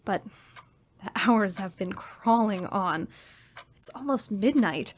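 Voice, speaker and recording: A woman's voice: medium pitch, expressive, takes it slowly. An actress playing a terrified, genteel captive young woman in a suspense drama, with a faltering, melodramatic delivery. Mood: despairing. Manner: faltering, repeats words, melodramatic. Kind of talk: old-time radio style suspense drama, diary monologue.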